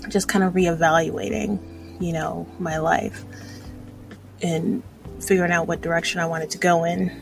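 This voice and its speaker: A woman's voice: on the low side, flat delivery, normal speed, gravelly, soft background music. A woman in her thirties chatting casually in a personal vlog, rambling and hesitant as she reflects on herself. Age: 30s. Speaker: vlogger.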